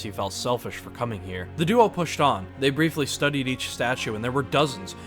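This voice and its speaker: A man's voice: medium pitch, a bit bright. A man reading scripted fantasy adventure narration as the storyteller. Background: music.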